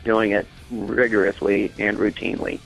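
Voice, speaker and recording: Low, gravelly, opinionated male guest. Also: flat delivery, normal speed, soft background music, rough recording. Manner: matter-of-fact, opinionated. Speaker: caller, comic expert guest. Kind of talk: casual comedic interview.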